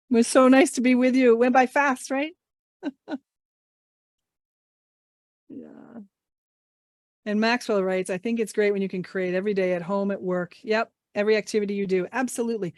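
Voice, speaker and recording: A woman's voice: on the high side, fairly even intonation, normal speed, long pauses, darkish tone, no echo, clean studio sound. A woman trainer leading an online workshop, upbeat and encouraging, asking the group many questions. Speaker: English language trainer. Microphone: video call mic.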